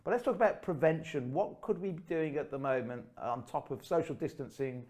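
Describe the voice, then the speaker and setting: A man in his forties hosting an online show in a chatty, upbeat way, his voice slightly croaky. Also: fairly high pitch, brisk, darkish tone. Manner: upbeat, chatty, laughs often. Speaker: host. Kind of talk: casual online show presenting. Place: room under his house.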